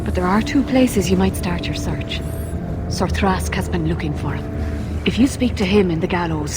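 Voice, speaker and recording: A woman's voice: medium pitch, close to an everyday voice. An actress playing a worried, pleading mother in a video game. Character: worried, pleading mother of a runaway mage boy.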